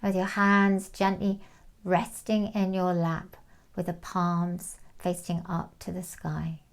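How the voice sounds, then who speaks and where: Slow, creaky-voiced woman guiding meditation. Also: lowish voice. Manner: calm, soothing, unhurried with long pauses. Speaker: meditation guide. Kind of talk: guided meditation, gentle instruction.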